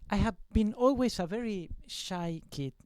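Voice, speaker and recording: Slow, halting man. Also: high-pitched, darkish tone, clear, resonant tone, no echo, clean studio sound. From Argentina. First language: Spanish. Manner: hesitant, many fillers and restarts. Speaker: musician being interviewed. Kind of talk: casual interview chat. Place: record store at a release party.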